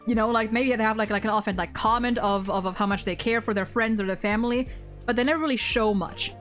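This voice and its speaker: A woman's voice: fairly high pitch, a bit bright. A woman chatting casually as host about a video game, emphatic and opinionated, swearing freely. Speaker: host of a game story ranking show.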